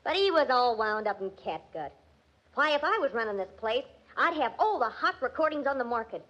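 Very high female voice, brash slangy young jazz fan, American, 1940s radio drama, muffled vintage recording. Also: big pitch swings, a little fast, fairly bright tone. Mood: brash, enthusiastic. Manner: slangy, sassy, jive-talking. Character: brash slangy young jazz-mad shop girl, quick to bristle. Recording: dull, band-limited old radio recording.

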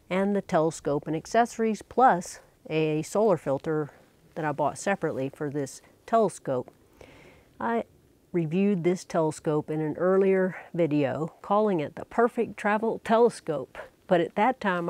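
Woman, sixties, slightly low register. Casual vlog host, unhurried and plain-spoken, dry wit. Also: darkish tone, no echo. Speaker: vlogger, amateur astronomer. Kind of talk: casual explanatory vlog to camera.

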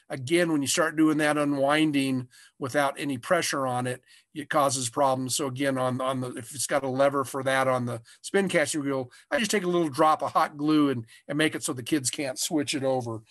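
A man in his sixties with an American accent, giving an informal lesson as an instructor, halting and often repeating his words. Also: on the high side, a bit bright, no room sound, clean studio sound. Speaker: fishing instructor. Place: small indoor room, curtain backdrop. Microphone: webcam video call.

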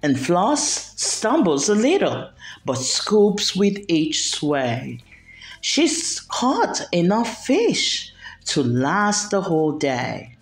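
A woman reading a children's picture book aloud, expressively and with deliberate enunciation. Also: lowish voice, wildly swooping pitch. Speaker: storyteller. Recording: roomy echo, background music. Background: music.

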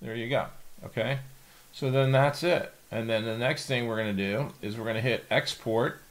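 A middle-aged man, explaining software step by step in a casual, plain tutorial style. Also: mid-range voice, moderate pace. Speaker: tutorial host. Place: indoor home office.

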